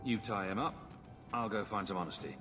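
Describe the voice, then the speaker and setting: A man's voice: on the low side, brisk, over music, lightly acted. An actor playing a bold, dry-witted male adventurer in a video game cutscene, wry and questioning.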